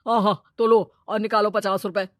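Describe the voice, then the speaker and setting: A woman's voice: medium pitch, level tone, a little fast, a bit bright, no room sound, studio quality, lightly acted. A woman voicing a greedy, scheming village moneylender man in a moral story, brash and full of exclamations. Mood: brash, dismissive. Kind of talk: animated moral-story character dialogue.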